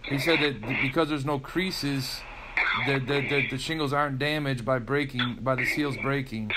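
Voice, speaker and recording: Halting man on a very noisy call. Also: medium pitch. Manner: halting, repeats words and restarts often. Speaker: public insurance adjuster. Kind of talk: questioning on a recorded phone call. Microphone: telephone call recording. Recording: very noisy recorded phone call, clicks.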